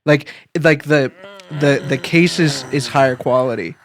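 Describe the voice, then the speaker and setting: A man's voice: mid-range voice, measured pace. A young man co-hosting a podcast in casual banter, playfully insistent and repeating his points. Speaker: podcast co-host.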